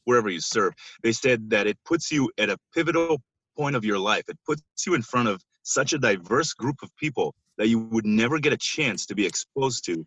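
A man's voice: mid-range voice, animated, a little fast, fairly bright tone, breathy edge, no echo, poor audio. A man in his thirties from Israel, giving conversational interview answers as a podcast guest over a band-limited call. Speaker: podcast guest, basketball coach.

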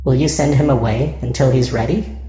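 A man's voice: mid-range voice, steady tone, brisk, warm tone, roomy sound, voice pushed up, lightly acted. A man voicing eerie, otherworldly children who speak as one in a horror audio drama. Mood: eerie, commanding. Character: eerie glowing-eyed children chanting in unison.

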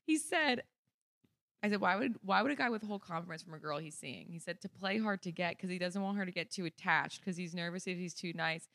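A young woman co-hosting a podcast in casual chat, wry and exasperated, quick to laugh. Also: fairly low pitch, a bit bright. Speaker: podcast co-host.

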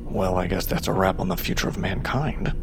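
A man's voice: low voice, a little fast. A man playing a wry, plain-spoken survivor in an audio drama, casual and sardonic. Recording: clear music bed underneath.

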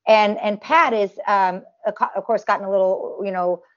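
A woman's voice: mid-range voice, fairly even intonation, moderate pace. A woman who performs as a celebrity impersonator, chatting in an interview with a nasal voice and a rambling way of talking, full of fillers and restarts. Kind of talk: casual interview chat. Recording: dull lo-fi audio.

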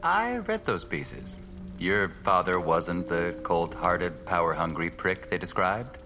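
A sharp, defiant appraiser of rarities in an audio drama. The voice is low, wry and plain-spoken. It sits in a long reverb with music clearly underneath. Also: male voice, big pitch swings, slow pace, exaggerated voice. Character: blunt, sardonic male antiquities appraiser, sharp and defiant.

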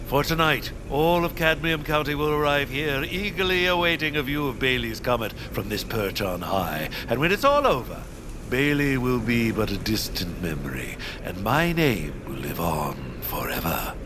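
An actor playing a grandiose, scheming villain who declaims pompously in a theatrical audio drama. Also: male voice, mid-range voice, big pitch swings, normal speed, noisy background, close to an everyday voice. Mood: gloating, scheming. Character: grandiose scheming male villain, gloating tower owner. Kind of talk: theatrical audio drama acting with musical numbers.

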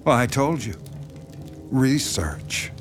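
An actor playing a sinister, obsessive horror writer with a coaxing, intense, condescending manner in a radio drama. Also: male voice, mid-range voice, very animated, slow. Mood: coaxing, intimate menace. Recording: dramatic music behind the voice.

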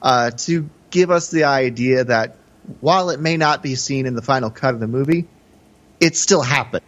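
A man's voice: mid-range voice, moderate pace, muffled recording. A man co-hosting a podcast, talking through film in a casual, conversational way with hesitant, hedged phrasing. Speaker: podcast co-host.

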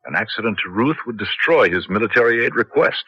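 Diplomatic embassy man, really varied pitch, measured, old radio play, dull muffled sound. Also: lively intonation, sharp tone, breathy edge, exaggerated voice. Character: measured, diplomatic male foreign service official. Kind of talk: 1970s radio drama acting. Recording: muffled vintage radio broadcast.

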